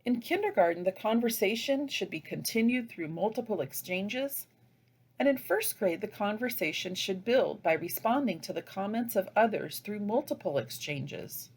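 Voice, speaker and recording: Female trainer for instructional narration: slightly raspy tone, lively intonation, deliberate and didactic delivery. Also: medium pitch. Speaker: teacher trainer.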